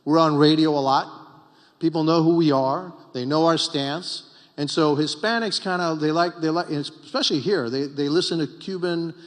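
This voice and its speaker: A man's voice: on the high side, moderate pace, long reverb tail. A middle-aged Cuban-born man, a congressman and former mayor, giving emphatic, repetitive panel remarks at a political retreat. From Cuba.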